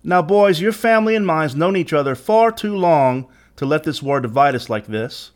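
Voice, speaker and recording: A man voicing an exhausted young Union soldier in a storybook, pleading and halting as he begs for mercy. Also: on the high side, lively intonation, close to an everyday voice. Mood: nervous appeasing. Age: young adult.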